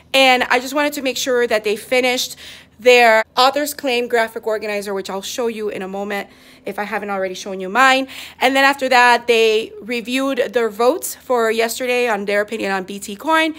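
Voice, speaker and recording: Chatty woman teacher vlogging to her phone. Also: on the high side. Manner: chatty, animated, gestures while talking. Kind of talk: casual classroom vlog recap. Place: empty classroom, indoors. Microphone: phone at arm's length.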